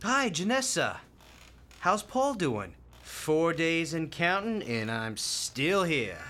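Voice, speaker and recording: A man's voice: on the high side, very animated, slow, sharp tone, slight background noise, full character voice. An actor playing a cheerful, kindhearted male hospital volunteer in a radio play, folksy, playful and talkative.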